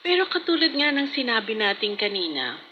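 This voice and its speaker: A woman's voice: on the high side, very animated, fairly quick. A woman with a Filipino accent giving health advice conversationally on radio, explaining and checking for agreement. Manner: explanatory, seeks agreement with tag questions. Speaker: health programme speaker. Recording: muffled, noisy AM radio aircheck.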